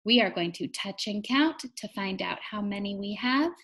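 A young woman reading aloud to small children in an encouraging, sing-song teacher's manner, guiding them along deliberately. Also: mid-range voice, animated, a bit bright. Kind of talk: interactive children's read-aloud lesson.

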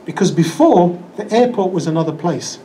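A man's voice: sits high, animated, normal speed, dark tone, slight background noise. An older man teaching a religious class in a small hall, chatty and acting out examples, speaking into a handheld mic. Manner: conversational, acts out excuses in quoted voices. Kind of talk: lecture with audience questions. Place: small hall with projector screen. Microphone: handheld microphone.

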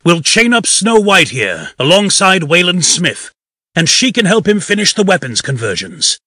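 A forceful, husky pig comrade acted by a man in a comic dub. He is firm and pragmatic, a decisive leader of revolutionaries. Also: sits high, sing-song, moderate pace, no room sound, clean studio sound, exaggerated voice. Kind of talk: amateur comic dub acting.

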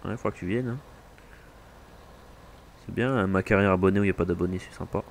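A man from France with a fairly low voice, trading relaxed, teasing banter while playing a farming game with a friend. He talks casually into a mic, jokes dry and offhand. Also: fast, creaky, slight background noise. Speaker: gaming channel host. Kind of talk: casual co-op gameplay chat.

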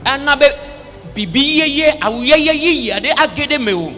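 A man's voice: very high-pitched, expressive, a little slow, bright, forceful tone. A man interpreting a sermon phrase by phrase on stage, speaking into a handheld microphone. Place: large convention hall, on stage. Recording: long hall reverb, music behind.

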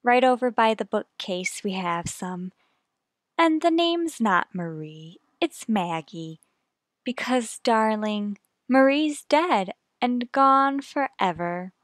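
Woman acting a composed wife, slow and high. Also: animated, dry recording, clean studio sound. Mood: calm, fond. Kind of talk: radio mystery acting.

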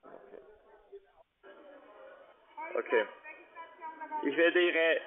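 A man's voice: a little high, extremely slow, over music. Non-native man on a tinny phone line. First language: not German. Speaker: call centre sales agent. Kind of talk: phone sales call in halting non-native German.

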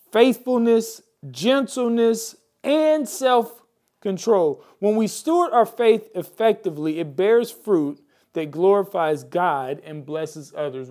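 Higher-voiced American male pastor preaching emphatically. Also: darkish tone. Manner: emphatic, repeats key phrases for weight; exhorting, addresses viewers directly. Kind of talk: mid-week church sermon with prayer and scripture.

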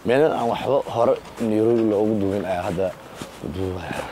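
A young man in his twenties from Somalia, speaking halting English with a Somali accent in a street interview, switching to quick Somali. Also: on the low side, mellow, a little hiss or hum. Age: 20s. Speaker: musician guest. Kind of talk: street interview, casual chat. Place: city street outdoors.

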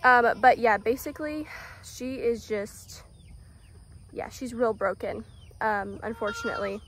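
A young woman with a Southern US accent, talking casually through a how-to farm vlog, full of "um"s and soft, soothing words to her animals. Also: fairly high pitch, measured pace, a little hiss or hum. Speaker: vlogger caring for farm animals. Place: outdoors on a farm by animal pens. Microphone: phone camera held close, selfie framing.

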